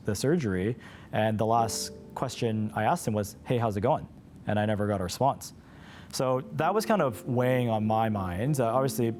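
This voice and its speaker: A man's voice: fairly low pitch, darkish tone. A man speaking candidly as an interview guest, in an earnest, conversational way. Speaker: interview guest, patient's brother. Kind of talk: candid personal storytelling in an interview.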